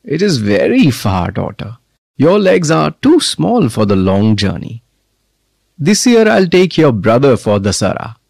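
A woman voicing a grown father in a children's story, firm but fond, with a measured, declamatory way of talking. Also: extremely low pitch, very animated, vocal fry, a little mellow, breathy edge, no echo, studio quality, pitched below the performer's own voice, heavily acted.

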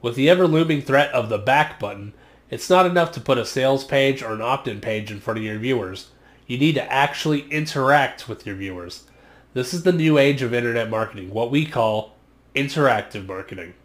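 A man in his thirties talks straight to camera in a mid-range voice, reading a prepared explainer in a steady, earnest way. He records in a small home studio on a condenser microphone, so the sound is close and clean. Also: medium pitch. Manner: measured, earnest, read-from-script delivery. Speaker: internet marketing vlogger. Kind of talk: scripted explainer monologue. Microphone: studio condenser mic on stand, just off frame.

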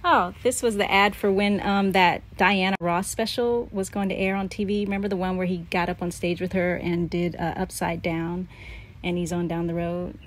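A middle-aged woman thinking aloud in a halting, casual way as she comments on a scrapbook for a vlog. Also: on the low side, flat delivery. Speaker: vlogger, memoir author.

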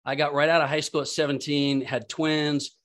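A man's voice: on the high side, flat delivery, normal speed. Rambling male podcast host. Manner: rambling, repeats words, warm affirmations. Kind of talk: casual interview.

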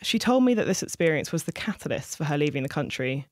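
A reporter giving scripted news narration with a clear, even delivery. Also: mid-range voice, quick pace, no echo, studio quality.

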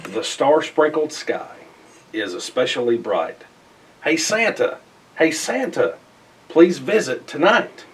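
A middle-aged man reads a rhyming children's picture book aloud in an expressive, theatrical way with emphatic phrasing. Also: a little high, takes it slowly, slightly rough, far from the mic. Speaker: storybook reader. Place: indoors in front of a festive backdrop.

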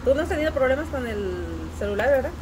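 A woman's voice: high-pitched, lively intonation, fast talker. A woman in casual chat across a table. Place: outdoor covered restaurant patio by a street. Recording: outdoor phone recording with traffic noise.

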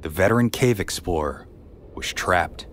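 Deep-voiced man narrating a somber true story. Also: animated, a little slow, fairly bright tone, slight background noise. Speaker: podcast host.

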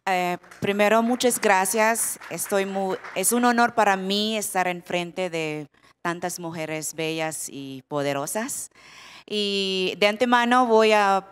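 A woman from the Philippines with a Filipino accent, speaking Spanish as a second language on a conference panel, halting and searching for words, often adding "¿no?". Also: mid-range voice, smooth. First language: not Spanish. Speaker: panelist, product development director. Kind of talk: panel talk.

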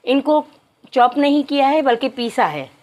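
Woman, slightly high voice, cooking host, polite step-by-step instructions. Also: sing-song, normal speed, darkish tone, highs cut off, a little hiss or hum. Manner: explains step by step, addressing viewers politely. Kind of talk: cooking tutorial narration.

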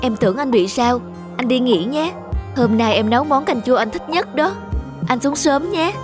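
A woman playing a gentle, caring young wife in a cartoon. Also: high-pitched, lively intonation, moderate pace, music in the background. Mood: concerned, gentle. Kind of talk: cartoon voice acting.

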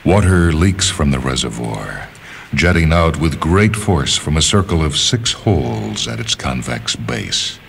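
A man reading a literary story as scripted narration, in a measured, deliberate manner, phrase by phrase. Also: very deep voice, expressive, gravelly, a bit bright, slight background noise. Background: music.

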